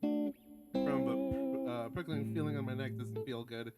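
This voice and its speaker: A man's voice: fairly high pitch, wildly swooping pitch, normal speed. A man streaming a game, reading aloud and chatting casually in a wry, sweary way, now and then stumbling over his words. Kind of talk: casual read-aloud commentary with asides. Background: guitar music from the game.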